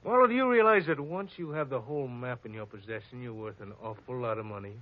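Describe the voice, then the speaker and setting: An actor playing a big, blunt, hearty bearded sculptor, in 1940s radio acting on a dull lo-fi old radio recording with faint noise. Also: male voice, fast, close to an everyday voice. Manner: blunt, booming laughter.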